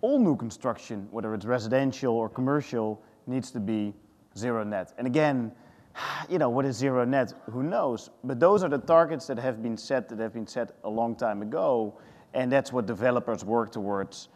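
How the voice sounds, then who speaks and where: A man's voice: mid-range voice, normal speed. Dutch man in a reverberant hall. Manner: animated, thinking aloud with restarts. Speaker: panelist. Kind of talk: panel discussion. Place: large conference hall.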